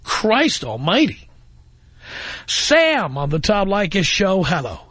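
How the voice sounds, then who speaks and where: A man's voice: high-pitched, huge pitch swings, takes it slowly, a bit bright, husky, no echo. A man hosting a political call-in radio show, blunt and lecturing, at times exasperated with callers. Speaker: radio talk show host.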